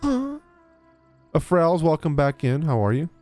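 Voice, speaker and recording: A man's voice: a little high, sing-song, unhurried, dark tone, faint music behind. A man giving casual, blunt, slangy gameplay commentary and talking back to the characters, close on a streaming mic. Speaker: game streamer.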